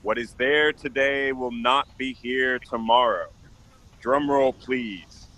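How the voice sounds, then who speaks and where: A man's voice: medium pitch, slow pace, sharp tone, slight background noise. A man playing a boastful, showy real estate developer in an amateur radio play.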